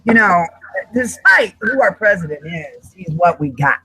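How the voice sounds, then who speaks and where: A man's voice: sits high, big pitch swings, measured pace, sharp tone, husky, no echo, rough recording. A man in a casual group video chat, rambling, restarting sentences and getting fired up.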